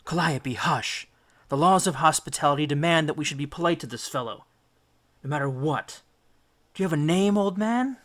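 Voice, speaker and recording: A man's voice: on the high side, expressive, normal speed, fairly bright tone. A man voicing a haughty young Greek woman, prim and formal, in a storybook reading. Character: haughty young Greek women, scornful yet mannered.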